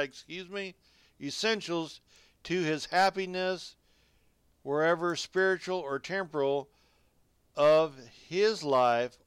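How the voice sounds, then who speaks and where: A man's voice: a little high, clear, resonant tone, no echo, clean studio sound. A man preaching a radio sermon, reading scripture and exhorting listeners directly in folksy, colloquial phrasing.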